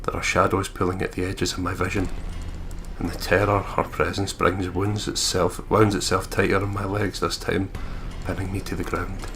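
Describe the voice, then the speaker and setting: Low, creaky Scottish man. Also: little pitch movement, normal speed, noisy background. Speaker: let's play commentator. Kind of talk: casual gaming commentary and reading of game narration.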